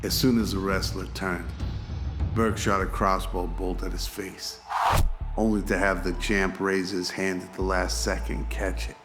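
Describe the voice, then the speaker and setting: A man narrating scripted action for an amateur radio drama in a measured storytelling manner. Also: sits low, level tone. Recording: loud music behind the voice.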